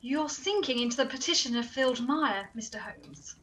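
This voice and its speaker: A woman's voice: high-pitched, lively intonation, normal speed, a bit bright, a little hiss or hum, rough recording. A woman playing a sensible, chiding assistant to a detective in a comic radio play, prim in manner. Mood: concerned.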